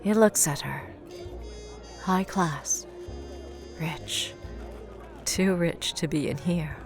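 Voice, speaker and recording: A woman's voice: lowish voice, drawn-out words, over music. A woman acting as the grave, brooding narrator of an audio drama, reading dramatic scripted narration. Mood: somber, measured.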